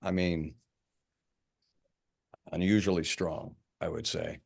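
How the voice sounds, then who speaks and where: A man playing a deep-voiced, casual detective. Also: fairly even intonation, slow pace, creaky, heavily acted. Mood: pensive, probing. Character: male police detective, casual, blunt, perceptive. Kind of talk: actor's table read of an indie horror film.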